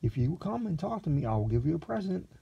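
A man reading aloud and voicing a naive, excitable young man who gushes over his food in breathless exclamations, pitched well above his own voice. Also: fast talker, gravelly, slightly rough, highs cut off, exaggerated voice. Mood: rapturous.

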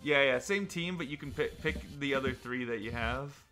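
A man bantering casually with friends while playing a video game, excitable, teasing and often breaking into laughter. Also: mid-range voice, moderate pace. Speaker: online video game player. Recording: game music behind the voice. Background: video game battle music.

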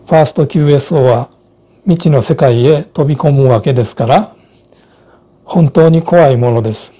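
A man in his sixties giving measured, explanatory narration from a script as an instructor. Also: medium pitch, dark tone.

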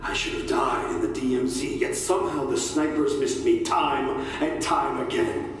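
A man's voice: mid-range voice, steady tone, close to an everyday voice. A man playing a fanatical, devout soldier prisoner in a game audio log, preachy, solemn and menacingly certain. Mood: zealous. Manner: preachy, solemn, slow and deliberate. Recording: echoey hall from far off, faint noise.

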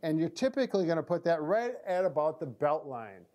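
A middle-aged American man with a slightly high voice explains things in a casual, practical way. He talks conversationally, like a therapist walking someone through a demonstration. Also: big pitch swings, brisk, dark tone. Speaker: physical therapist presenter. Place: indoor therapy room.

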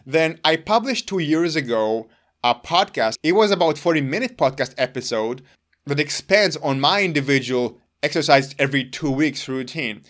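A man's voice: on the high side, animated, normal speed, a bit bright. Earnest man in his thirties, non-native English. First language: Hebrew. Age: 30s. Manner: earnest, gestures with his hands while talking. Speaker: wellness channel host. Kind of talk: explaining his personal exercise routine to camera. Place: indoor room in front of gray curtains.

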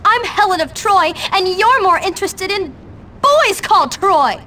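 High-voiced melodramatic teenage temptress. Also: female voice, animated, a little slow, sharp tone, a little hiss or hum, full character voice. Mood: boastful, dramatic. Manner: melodramatic, goading. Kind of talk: video game cutscene acting.

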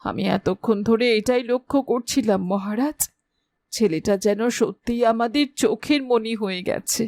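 A woman playing a gentle, anxious queen and devoted wife in a fairy-tale cartoon, speaking formally and soothingly. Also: fairly high pitch, moderate pace, dry recording, clean studio sound, close to an everyday voice. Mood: fond.

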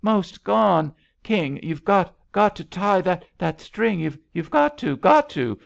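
A man voicing a spirited, excitable young woman swimmer in a story reading, on a muffled recording. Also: mid-range voice, slow, creaky, voice pushed up, heavily acted. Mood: frantic.

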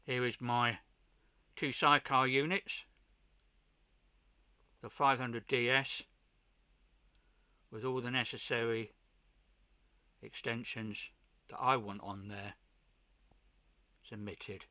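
Male hobby presenter, slow halting informal delivery, forceful bright tone, dull-sounding close recording. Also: medium pitch, fairly even intonation, no room sound. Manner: halting, pausing between short phrases. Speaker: amateur radio hobbyist presenter. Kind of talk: informal hands-on video demonstration.

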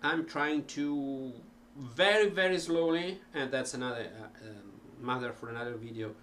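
A middle-aged man with an Italian accent, talking casually to a webcam about learning languages, slow and full of ums and restarts. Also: medium pitch, fairly bright tone, slight background noise. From Italy. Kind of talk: casual talk to camera. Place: cluttered home music room.

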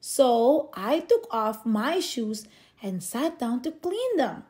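High, animated woman reading a picture book. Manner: theatrical, drawn-out emphasis on key words. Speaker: storybook reader. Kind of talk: expressive read-aloud of a children's picture book.

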